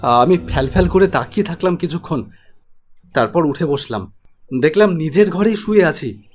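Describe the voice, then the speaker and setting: Suspenseful male narrator, slightly high, faint music behind. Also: animated, normal speed. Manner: dramatic, suspenseful. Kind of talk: scripted storytelling narration.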